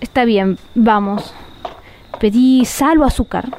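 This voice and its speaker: A very high yet mellow young woman's voice with an Argentine accent , acted by a woman. She is a fretful, doubting accomplice in a radio play. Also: takes it slowly, a little hiss or hum. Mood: doubtful. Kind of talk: radio drama acting.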